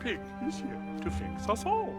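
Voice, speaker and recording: Deranged sing-song villain, wildly swooping pitch. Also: male voice, huge pitch swings, normal speed, exaggerated voice. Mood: manic, delusional. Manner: sing-song, babbling, erratic. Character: deranged male villain surgeon, childlike, creepy, self-named Pig. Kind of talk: theatrical video game villain acting. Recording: loud music behind.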